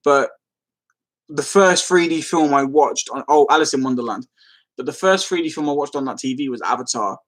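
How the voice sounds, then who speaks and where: A man's voice: mid-range voice, normal speed. A man hosting a casual live stream, rambling as he replies to comments and easily amused. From London, England.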